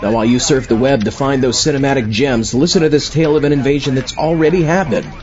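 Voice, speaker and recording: A man's voice: medium pitch, steady tone, fast, darkish tone. A man hosting a show, reading a scripted introduction. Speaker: show host. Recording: dull lo-fi, clear crowd sound.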